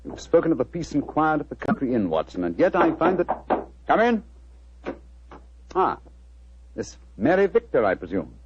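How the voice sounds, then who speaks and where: An actor playing a sardonic, precise English gentleman detective with a British accent and clipped, theatrical delivery in a 1940s radio drama. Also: a little high, big pitch swings, normal speed, pauses often, background noise. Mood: irritated, then courteous, brisk. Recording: dull vintage 1940s radio recording.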